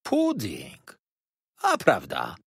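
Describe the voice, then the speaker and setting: Storybook role: sly jovial servant, acted by a man, talkative and dramatic, very animated delivery with a rough edge. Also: medium pitch, a little slow, dry recording, studio quality, full character voice. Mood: gleeful. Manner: chatty, exclaiming, theatrically dramatic. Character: sly, jovial manservant with a hearty appetite. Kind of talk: dramatised audiobook reading.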